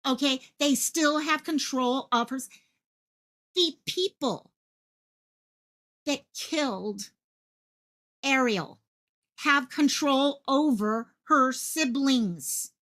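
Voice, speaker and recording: A woman's voice: high-pitched, lively intonation, takes it slowly, pauses often, a bit bright. A woman hosting her own online channel, speaking with passion, repeating phrases for emphasis and projecting her voice the way a stage actor does. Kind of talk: impassioned livestream commentary.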